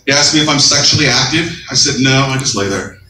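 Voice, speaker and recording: A deep-voiced man in his fifties doing a stand-up comedy set, wry and deadpan on the punchlines with animated asides. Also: quick pace, reverberant, far from the mic, background noise. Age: 50s. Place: small coffeehouse, live audience.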